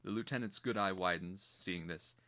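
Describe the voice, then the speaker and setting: Deep-voiced man reading a screenplay dramatically. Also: moderate pace, sharp tone. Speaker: narrator.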